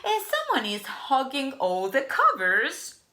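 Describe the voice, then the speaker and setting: A woman's voice: on the low side, wildly swooping pitch, slow, sharp tone, roomy sound, exaggerated voice. A woman voicing a sleepy, grumpy male dinosaur staying at a hotel, grumbling and fussy in his complaints. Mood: indignant.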